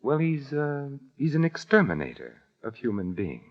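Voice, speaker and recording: An actor playing a suave, witty, unflappable adventurer-sleuth in a 1940s radio detective drama, urbane, articulate and wryly teasing. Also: male voice, medium pitch, wildly swooping pitch, moderate pace. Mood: wry, probing. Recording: dull vintage radio recording, faint music.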